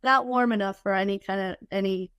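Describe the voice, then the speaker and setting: A woman guest, her voice a little husky from a cold, chatting casually and candidly with a quick, joking manner. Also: medium pitch, steady tone, a bit bright, no room sound. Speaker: podcast guest, keynote speaker. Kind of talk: casual chat.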